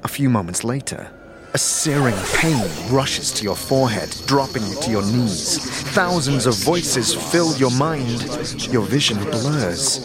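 A man's voice: medium pitch, lively intonation, a little slow. A man reading scripted narration for a fantasy audio drama. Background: music.

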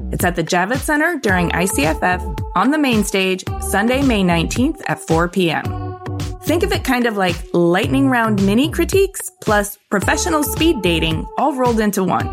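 A woman hosting a design podcast, reading a promo and asking interview questions in an upbeat, friendly way. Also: medium pitch. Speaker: podcast host. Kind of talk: host read and interview.

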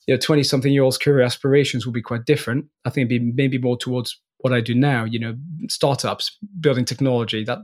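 Interview guest: man, forties, from France, blended French and Northern Irish accent, easygoing, repeats words as he thinks. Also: mid-range voice. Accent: mixed French and Northern Irish. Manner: relaxed, frequent restarts and small laughs. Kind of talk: casual interview chat.

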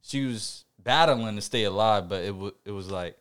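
Smooth low-voiced man, reflective chat. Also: a bit bright. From Puerto Rican family background. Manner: reflective, halting, many fillers and restarts. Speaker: podcast co-host. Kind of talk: casual podcast chat.

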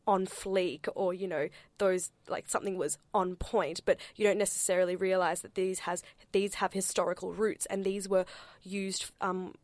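A woman's voice: lowish voice, steady tone, moderate pace, darkish tone, dry recording. Hesitant Australian woman, dry sound. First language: not English. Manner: hesitant, full of fillers and restarts. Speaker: panel speaker. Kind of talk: discussion.

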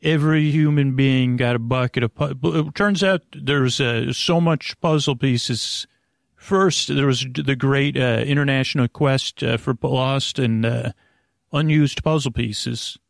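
A man hosting a bedtime podcast, rambling in an improvised, halting way with stammered, repeated word starts and a gravelly, creaky voice. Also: medium pitch, no echo, studio quality. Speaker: podcast host.

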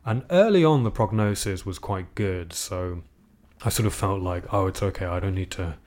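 A young man raised in England with a British accent talks through his thoughts in an interview. His voice sits very low, and he pauses, restarts and fills gaps as he reflects. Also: a little mellow. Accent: British English. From Iceland. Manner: thoughtful, halting, many fillers and restarts. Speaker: guest, composer.

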